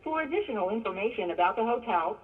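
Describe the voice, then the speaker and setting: A woman's voice: a little high, animated, quick pace. A woman reading recorded hotel phone menu options as a scripted announcement. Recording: noisy, tinny phone line.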